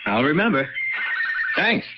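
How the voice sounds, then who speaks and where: A man's voice: on the high side, very animated, measured pace, heavy background noise. A man playing an easygoing, jokey young newlywed husband in a radio drama. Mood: affable, flustered. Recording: dull lo-fi radio recording.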